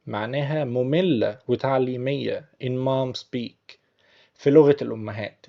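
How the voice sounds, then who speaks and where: A man's voice: medium pitch, vocal fry, highs cut off. A man with an Egyptian Arabic accent, patiently explaining English phrases word by word as a teacher.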